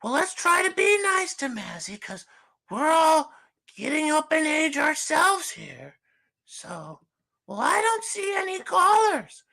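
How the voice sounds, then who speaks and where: A man voicing a gushing woman host in falsetto on a comedic livestream, starting almost every line with "well". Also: sing-song, slow pace, creaky, pushed and bright, exaggerated voice, poor audio. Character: shrill fake woman hostess, gushing. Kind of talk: comedic livestream character bit.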